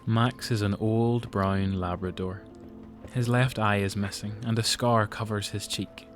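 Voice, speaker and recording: Music plays clearly behind a male narrator with a Northern Irish accent. He tells a dramatic scripted story in deliberate, suspenseful phrases. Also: lowish voice, fairly even intonation.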